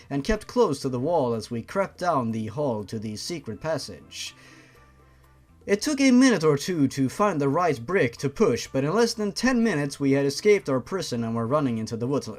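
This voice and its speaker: A man with a slightly high voice that swings widely in pitch. He reads fiction aloud as a host who mocks it, sounding sarcastic and exasperated. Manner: sarcastic, exasperated, profane asides. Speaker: host reading and mocking fan fiction. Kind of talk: dramatic story reading with sarcastic commentary.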